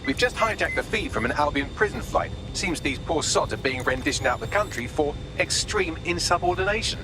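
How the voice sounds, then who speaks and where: An actor voicing a cheeky, sarcastic British artificial intelligence assistant, glib and quick to mock, in a video game. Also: male voice, fairly high pitch, lively intonation, background noise, natural voice. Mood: breezy sarcasm.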